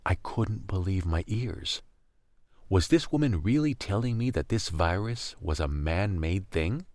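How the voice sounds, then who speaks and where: A man's voice: low voice, animated, unhurried, a little mellow, no room sound, clean studio sound. A man playing a jaded scavenger who narrates a radio drama in a wry, matter-of-fact, weary way. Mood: disbelieving. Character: jaded male scavenger in a silent post-virus America, narrating.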